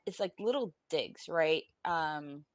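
A woman in her forties from Puerto Rico talks in a lowish, close and dry voice. She is informal, salty and blunt, with a weary edge, as a guest speaking personally on a panel. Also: expressive, slow, dry recording. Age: 40s. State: weary, emotionally exhausted. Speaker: podcast guest. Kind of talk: candid personal panel talk. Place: room at home, on a video call.

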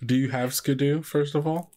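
A man narrating as game master in casual, improvised speech, thinking aloud in a halting way. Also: on the high side, fairly even intonation, mellow.

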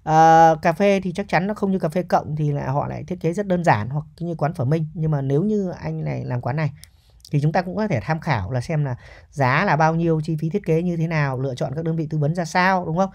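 Fast-talking man presenting a property. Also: fairly high pitch, a little mellow. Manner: chatty, often tags statements with a checking question. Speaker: real estate agent presenting a property. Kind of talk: casual property walkthrough commentary.

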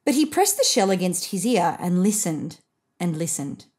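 Expressive woman reading a children's storybook. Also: fairly low pitch, moderate pace, slightly rough. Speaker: picture book reader.

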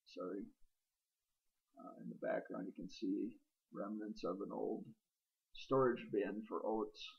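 A middle-aged man with a lowish voice talks his way through an old barn, pausing often with ums and uhs as he points things out. The sound is dull and lo-fi, straight from a handheld camera's built-in mic, outdoors and inside the barn. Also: vocal fry. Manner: rambling, hesitant, many ums and uhs. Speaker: homesteader filming his barn. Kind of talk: casual narrated walkthrough.

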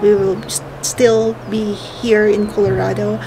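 A woman's voice: mid-range voice, flat delivery, measured pace, noisy background. A woman in her thirties chatting to her viewers in a casual travel vlog, talking into a handheld camera. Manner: chatty, addresses viewers as guys. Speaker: travel vlogger. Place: hotel room indoors, then balcony outdoors. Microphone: handheld camera at arm's length.

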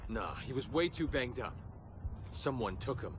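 An actor playing a skeptical soldier in a video game, blunt, colloquial and sardonic. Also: male voice, mid-range voice, animated, measured pace, background noise, close to an everyday voice. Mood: worried. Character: brash, skeptical male soldier, gruffly practical. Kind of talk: video game cutscene acting.

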